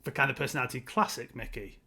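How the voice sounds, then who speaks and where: A man's voice: medium pitch, very fast, vocal fry, pushed and bright. A man co-hosting a casual film discussion, speaking in an analytical, emphatic way and wandering off into side points. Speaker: podcast co-host.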